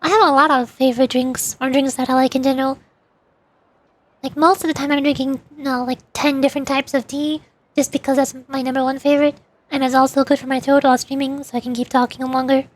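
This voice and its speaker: A woman's voice: sits high, flat delivery, fast talker. A woman streamer chatting casually and rambling, often giggling as she answers her chat.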